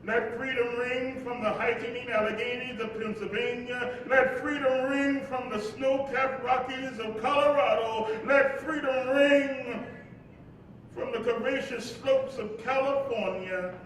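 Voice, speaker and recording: Civil rights preacher, eloquent, slow oratorical delivery, reflective, stage play, distant echoing hall. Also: male voice, extremely high pitch, fairly even intonation, fairly bright tone. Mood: solemn, soaring. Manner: measured, deliberate, preacherly cadence. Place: live performance in an echoing hall. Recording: very echoey hall, distant mic, faint noise.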